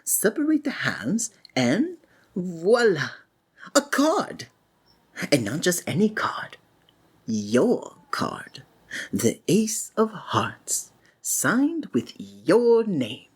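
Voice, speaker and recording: A woman playing a flamboyant, sinister male stage magician in an ASMR roleplay, with breathy, honeyed, flowery theatrical speech that often drops into a whisper. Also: fairly low pitch, huge pitch swings, very slow, heavily acted. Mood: showy, silky.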